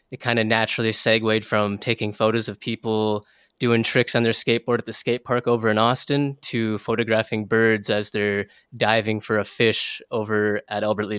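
A man's voice: lowish voice, little pitch movement, normal speed, fairly bright tone, clear, resonant tone, no echo, studio quality. A young American man chatting casually as an interview guest, with an informal, slangy, effusive way of talking. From southern Minnesota, United States. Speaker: interview guest, wildlife photographer.

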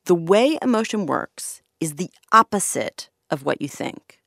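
A woman's voice: lowish voice, sing-song, measured pace, gravelly, fairly bright tone, no echo, studio quality. A woman working as a podcast host and reporter, explaining stories in a conversational narrating style.

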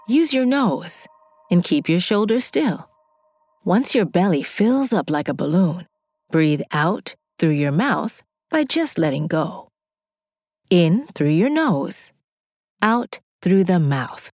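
A woman hosting a podcast, gently guiding breathing and affirmations with slow, encouraging calm. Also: fairly low pitch. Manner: slow, soothing, encouraging guidance. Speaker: podcast host. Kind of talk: guided breathing and affirmations for kids. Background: music.

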